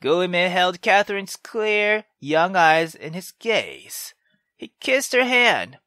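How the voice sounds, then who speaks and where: A let's player narrating a visual novel aloud in an expressive storytelling manner. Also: medium pitch, takes it slowly, dry recording, clean studio sound. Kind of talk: dramatic visual novel narration with brief reactions.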